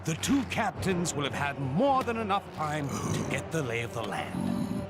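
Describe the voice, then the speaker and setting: Urgent wizard hero, high voice swinging wildly, authoritative, loud game music. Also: male voice, huge pitch swings, a little slow, heavily acted. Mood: impatient.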